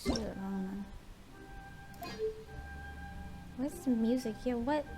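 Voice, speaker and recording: A woman's voice: on the high side, slow, low-quality recording. A woman streaming a game playthrough, reacting casually with playful, muttered asides. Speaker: gaming streamer. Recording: game music behind the voice.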